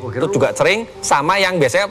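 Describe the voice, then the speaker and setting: A man from Semarang with a Central Javanese accent, a guest doctor calmly explaining health tips on a studio talk show. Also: a little high, fast, big-room sound, crowd noise. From Semarang, Indonesia. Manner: calm, explanatory, agreeable. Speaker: guest doctor, physical medicine specialist.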